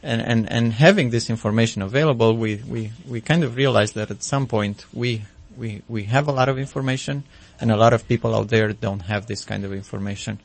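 A man in his thirties with a Romanian accent, a physician guest speaking haltingly in a casual radio interview, full of ums and restarts. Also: mid-range voice, creaky.

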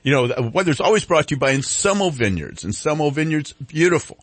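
Husky-voiced male radio host. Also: a little high, big pitch swings, a little fast, dry recording. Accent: Northern California. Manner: loose and rambling, with fillers and restarts. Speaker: radio talk show host. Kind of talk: casual morning radio chat and live ad reads. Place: radio studio. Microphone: studio microphone.

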